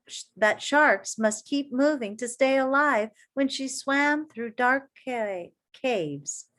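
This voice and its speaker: A woman with a fairly high voice reads a picture book aloud at a very unhurried pace. She speaks expressively to young listeners, placing deliberate emphasis on phrases. Her manner is that of an encouraging elementary teacher who adds small asides as she goes. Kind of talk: children's story read-aloud with asides.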